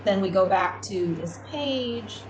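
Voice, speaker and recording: A woman giving a step-by-step spoken product tutorial as a demonstrator, with halting, repetitive phrasing. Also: medium pitch, lively intonation. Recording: clearly noisy room ambience.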